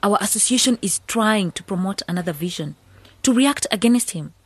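A woman's voice: medium pitch, animated, brisk, husky, dry recording. An actress playing a skeptical young woman who leads a village youth association, in a radio drama.

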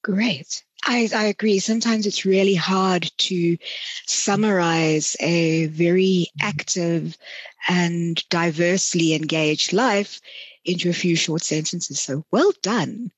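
A woman hosting an interview podcast, speaking conversationally in measured, articulate sentences. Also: lowish voice, breathy edge, no room sound. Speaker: podcast host.

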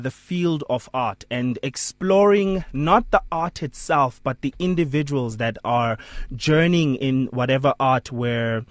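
A man's voice: medium pitch, no room sound. A man hosting a live evening radio interview show, chatty and joking with his guest, speaking. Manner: chatty, laughs often, jokes with guest. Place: radio studio.

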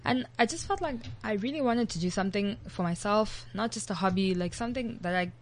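Young South African woman, animated guest, loose chatty storytelling. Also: mid-range voice, a little fast. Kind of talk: casual interview chat.

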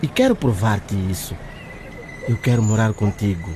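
A man's voice: fairly low pitch, very animated, normal speed, darkish tone, slight background noise. An actor playing an earnest young man in love in a radio drama. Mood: earnest, tender.

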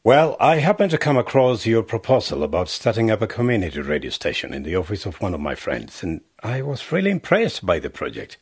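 An actor playing a vain, pompous member of parliament who insists on being called honorable, in a radio drama. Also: male voice, fairly low pitch, huge pitch swings, brisk, few pauses, slightly rough, dry recording, clean studio sound, full character voice. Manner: pompous, insists on his title. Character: vain smooth-talking male politician, middle-aged.

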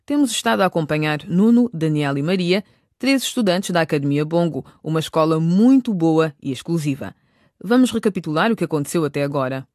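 A woman's voice: sits low, lively intonation, brisk, a little mellow, dry recording, studio quality. A woman reading scripted narration as the storyteller of a radio drama. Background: music.

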